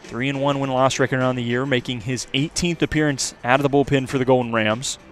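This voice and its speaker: A man's voice: mid-range voice, fairly even intonation, a little fast, soft background music. A man calling a college baseball game live as a play-by-play radio announcer.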